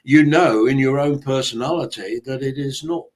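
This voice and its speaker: A man's voice: mid-range voice, moderate pace. Hesitant man moderating a panel. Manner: hesitant, many fillers and restarts. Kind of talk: online panel discussion.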